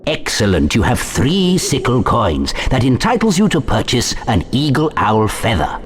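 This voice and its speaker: An actor playing a genial, theatrical, very polite old-fashioned shopkeeper in a video game, with effusive delivery. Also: male voice, mid-range voice, wildly swooping pitch, moderate pace, a little hiss or hum. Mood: genial, instructive.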